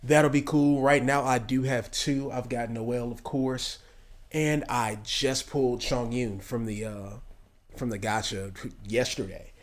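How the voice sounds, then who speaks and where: Man, medium pitch, casual gaming commentary, informal, thinking aloud. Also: fairly even intonation, a little slow. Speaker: gaming video creator.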